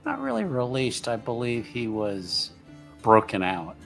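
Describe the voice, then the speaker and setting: A man voicing a polite, tactful bearer of bad news in a tabletop roleplaying game, halting and careful. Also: medium pitch, huge pitch swings, gravelly, fairly bright tone, faint music behind, exaggerated voice. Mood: halting, grave.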